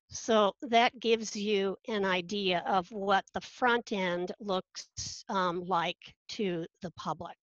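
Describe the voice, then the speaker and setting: A woman presenting over a video call, talking through a live screen demo in a measured way. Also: mid-range voice, a bit bright, dry recording. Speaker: faculty presenter. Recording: dull, dry call audio.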